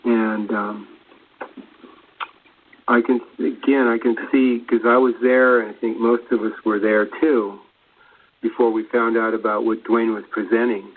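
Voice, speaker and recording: Flat-toned, hesitant man on a phone line. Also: lowish voice, a little slow. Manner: hesitant, searching for words, repeats himself. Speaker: guest commentator. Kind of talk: rambling spiritual discussion. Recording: thin phone-line radio audio.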